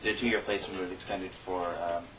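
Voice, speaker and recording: Low-voiced man in an echoey room. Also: fairly even intonation, a little fast. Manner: easygoing, plain-spoken, with fillers. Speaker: returned volunteer. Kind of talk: informal talk to an audience. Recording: echoey room, distant dull mic, noise.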